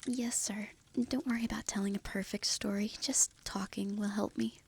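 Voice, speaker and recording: Woman playing a sleepless young woman, whispered, raspy, breathy, hushed. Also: mid-range voice, steady tone, moderate pace. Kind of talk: fantasy audio drama.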